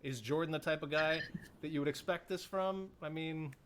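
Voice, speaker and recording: Man with a slightly high voice, asking questions. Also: normal speed, fairly bright tone, slight background noise. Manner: playful, asks probing questions. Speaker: podcast co-host and speech coach. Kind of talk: casual recap chat.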